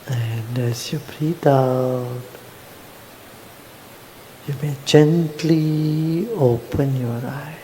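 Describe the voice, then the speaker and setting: A man leading a guided meditation, then chatting with a crowd in a soft, slow manner with small "huh?" asks. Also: medium pitch, a little hiss or hum, rough recording. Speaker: meditation teacher. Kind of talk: guided meditation and public address.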